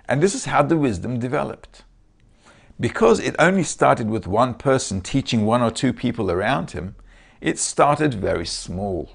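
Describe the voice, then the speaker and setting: A man's voice: mid-range voice, gravelly. A man with a Turkish accent giving a casual lecture over a video call, often checking in with his listeners. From Turkey.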